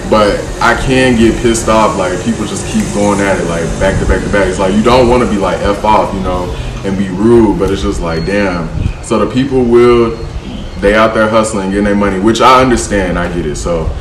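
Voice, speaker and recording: A man's voice: on the low side, normal speed. A young American man vlogging in a loose, slangy way, often saying bro and like. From United States. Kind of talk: casual vlog chat. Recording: roomy, distant, air-conditioner hum.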